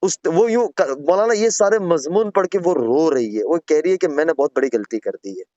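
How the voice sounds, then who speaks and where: A man speaks in a somewhat high voice, earnestly and respectfully making his case. He is a caller in a private conversation. The sound is a dry, muffled recorded phone call. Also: lively intonation, normal speed, darkish tone, no echo. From Gujarat, India. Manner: deferential, earnest, repeatedly addressing the listener respectfully.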